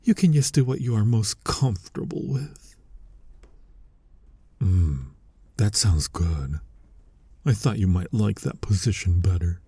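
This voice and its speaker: A man playing a flirty, tender incubus in an intimate ASMR roleplay, speaking softly and teasingly with a breathy, husky voice. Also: fairly low pitch, lively intonation, exaggerated voice. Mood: coaxing, intimate. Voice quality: soft, breathy, husky, often near a whisper. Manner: teasing, coaxing, slow and intimate. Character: flirty, tender male incubus lust demon comforting a lover.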